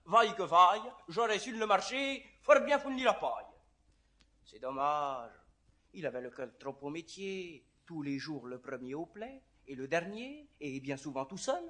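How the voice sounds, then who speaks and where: An actor playing a talkative, sly, grumbling servant in a classical French verse comedy, theatrical and declamatory. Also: male voice, high voice, fairly bright tone. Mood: mock concern. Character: comic talkative male servant doorkeeper, sly, grumbling, sleepy.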